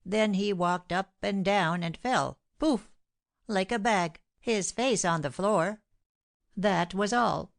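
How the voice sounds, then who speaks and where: Woman reading a sly gossipy servant guy, higher than her narration, hams up the tale. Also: vocal fry. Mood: gossiping. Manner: dramatic, drawn-out storytelling.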